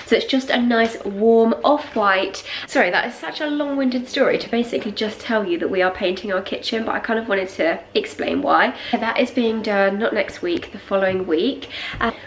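A woman's voice: mid-range voice, slight background noise, low-quality recording. British woman vlogging with a head cold. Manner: rambling, filler-heavy, lots of "like" and "um". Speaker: lifestyle vlogger. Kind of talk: casual chatty vlog to camera. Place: home kitchen, indoors. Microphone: handheld camera at arm's length.

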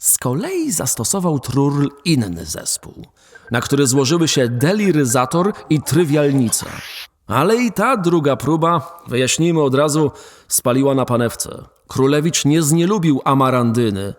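A man narrating a radio play in an expressive, animated storytelling way. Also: mid-range voice, measured pace. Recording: faint background music.

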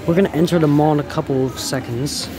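A young man casually narrating a walking tour of a shopping mall, halting and repeating words as he goes. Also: fairly high pitch, heavy background noise. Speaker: vlogger giving a mall tour. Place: large indoor shopping mall. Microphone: handheld phone camera.

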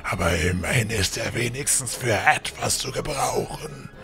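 A man playing a villainous old burgrave turned monstrous in a fan audio drama, sneering and theatrical with menace, in a gravelly voice. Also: on the low side, moderate pace, pushed and bright. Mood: menacing gloating. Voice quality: gravelly, creaky. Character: old villainous burgrave swollen into a demonic troll.

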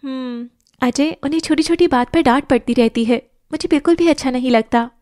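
A woman voicing a kind, gentle young wife who speaks politely in an animated family drama. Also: high voice, brisk, no room sound, clean studio sound, natural voice.

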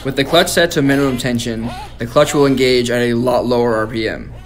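A young man giving a clear, steady, scripted product tutorial as a voice-over. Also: medium pitch, brisk, over music. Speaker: product demonstrator.

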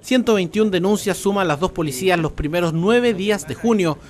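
A man's voice: sits high, brisk. A man with a Chilean accent narrating a scripted television news report.

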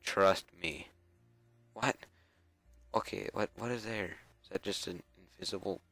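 A young man with a cold and a raspy, scratchy voice, mumbling casual commentary to himself while playing a game. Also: low voice, a little slow, fairly bright tone, dry recording. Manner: mumbling, self-talk with frequent fillers and repeats. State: hoarse with a cold. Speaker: gameplay video creator.

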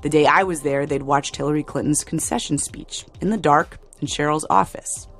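Gravelly-voiced woman radio reporter. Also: sits low, normal speed. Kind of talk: scripted radio narration.

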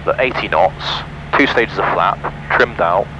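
A young man flying a small plane, calmly talking through his checklists over a headset mic. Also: low voice, a little slow, dull audio, background noise. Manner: calm, methodical checklist talk. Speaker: pilot. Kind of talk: flight vlog commentary. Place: small aircraft cockpit. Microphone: headset boom mic.

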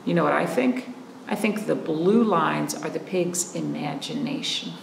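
A woman in her thirties, a children's librarian, reading a picture book aloud in an animated, playful way and asking the children questions. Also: sits low, a little slow, gravelly, echoey room, slight background noise, rough recording. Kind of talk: storytime read-aloud for children. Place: library room in front of a painted wall.

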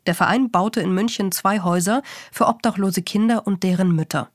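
A woman with a medium-pitched voice reads a scripted introduction as a presenter. Her delivery is polished and articulate, and the studio sound is very dry. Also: fairly quick, no echo. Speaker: podcast co-host, presenter. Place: podcast studio, indoors.

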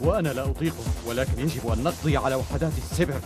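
An actor playing a practical, heroic fighter with a direct manner in a dubbed action cartoon. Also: male voice, mid-range voice, racing, close to an everyday voice. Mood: urgent. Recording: loud music behind the voice.

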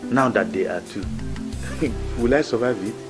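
A woman doing a weary deep-voiced dad. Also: measured pace, over music. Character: weary older father, fed up with his excitable daughter.

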